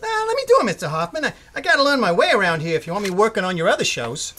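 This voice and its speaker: Jumpy, bright-voiced young studio newcomer. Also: male voice, high voice, big pitch swings, rapid-fire, full character voice. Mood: eager. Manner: folksy, nervous, muttering to himself, exclaiming. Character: eager, jumpy young male new radio studio employee. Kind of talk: live old-time radio drama acting. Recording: live stage recording with faint noise.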